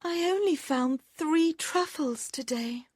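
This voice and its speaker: A woman plays a lonely twelve-year-old girl from a storybook, pleading and tearful. The voice is very high and slow. The sound is dry and close. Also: animated, no echo. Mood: worried. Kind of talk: storybook reading.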